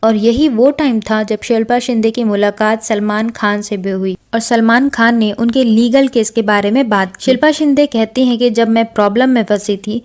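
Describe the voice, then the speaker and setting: A woman's voice: on the high side, moderate pace, hardly any pauses, a little mellow. A woman presenting entertainment news as a conversational story, mixing in English words. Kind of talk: narrated celebrity controversy story, conversational explainer.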